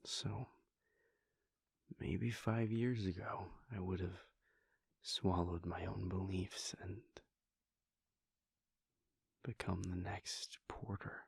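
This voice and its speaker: A man voicing a weary, devoted male vampire prince in a soft, intimate whisper, speaking in slow, halting, heartfelt confessions. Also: sits low, level tone, creaky, breathy edge, no echo, clean studio sound, full character voice. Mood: tender, hushed. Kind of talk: whispered audio roleplay.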